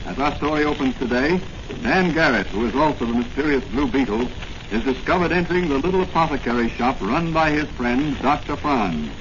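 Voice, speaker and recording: A man's voice: medium pitch, expressive, brisk. A man narrating a 1940s radio serial as its announcer, formal, dramatic and grandiose. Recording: noisy, muffled old radio recording.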